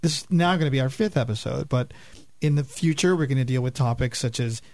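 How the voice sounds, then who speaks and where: A man's voice: mid-range voice, fast, darkish tone, no room sound. A man co-hosting a radio show, explaining things in a casual, conversational way. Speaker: radio show and podcast co-host.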